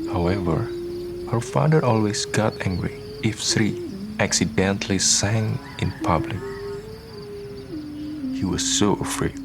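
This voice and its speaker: A man narrating a scripted radio drama as a solemn, deliberate storyteller. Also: fairly low pitch, gravelly, noisy background. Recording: voice over prominent music. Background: music.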